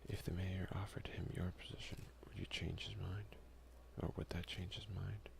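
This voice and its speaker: Male, ASMR let's player, hushed, heavy vocal fry, low pitch, soft casual delivery, close mic. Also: flat delivery, normal speed. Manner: soft-spoken, often slipping into whisper. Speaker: let's play ASMR video creator.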